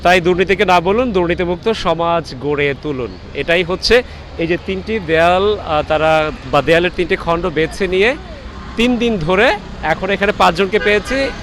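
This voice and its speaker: A male reporter speaks in a high register with a Bangladeshi accent. He presents from the scene in a measured, deliberate manner, pausing often. Clear street and traffic noise surrounds his voice. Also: fairly bright tone. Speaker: reporter interviewing students. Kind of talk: on-location reporting and interviewing. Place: outdoors on a university campus. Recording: noisy outdoor street with traffic.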